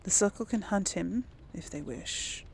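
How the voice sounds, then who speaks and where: Slow, creaky-voiced woman playing games. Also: medium pitch, expressive. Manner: hesitant, musing, thinking aloud. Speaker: gaming video host. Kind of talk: casual role-play reactions over a game.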